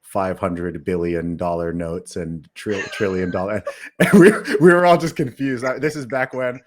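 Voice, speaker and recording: Deep-voiced male podcast host. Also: normal speed. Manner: casual, curious questioning. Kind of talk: podcast interview.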